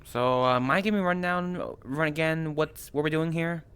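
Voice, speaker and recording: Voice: medium pitch, fairly even intonation, moderate pace, fairly bright tone, smooth. A role-play player chatting casually in character, with a hesitant, questioning way of talking.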